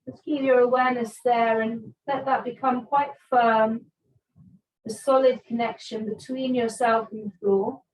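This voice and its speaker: Slow, higher-pitched woman guiding yoga. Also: rough recording. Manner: calm, soothing, with long pauses. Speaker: yoga teacher. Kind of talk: guided restorative yoga instruction.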